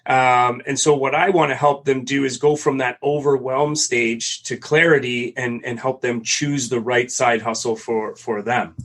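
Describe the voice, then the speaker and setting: A man's voice: mid-range voice, steady tone, measured pace, few pauses, fairly bright tone. A man from Canada, a guest answering interview questions conversationally and often hesitating with ums. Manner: hesitant, many ums and restarts.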